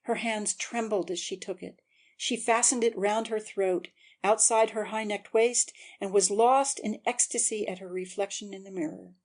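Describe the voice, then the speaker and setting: A woman's voice: medium pitch, fairly even intonation, moderate pace, a little mellow. A woman narrator giving a scripted, dramatic audiobook reading.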